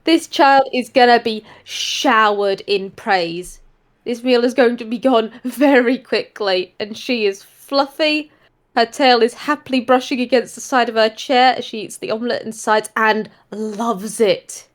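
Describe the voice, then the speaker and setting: Woman, high voice, expressive gushing delivery, improvised present-tense storytelling, role-play narrator. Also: unhurried.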